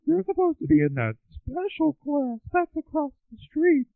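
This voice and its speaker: A man voicing a prim female kindergarten teacher in mocking, sing-song falsetto. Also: wildly swooping pitch, moderate pace, gravelly, dry recording, heavily acted. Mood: mock sweet. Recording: tinny lo-fi telephone line.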